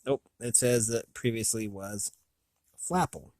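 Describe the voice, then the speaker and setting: An American man hosting a casual, unscripted review, thinking aloud in a halting, rambling way with a slightly raspy voice. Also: fairly low pitch, a little mellow, dry recording, studio quality. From United States. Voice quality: slightly raspy from long talking. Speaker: video host.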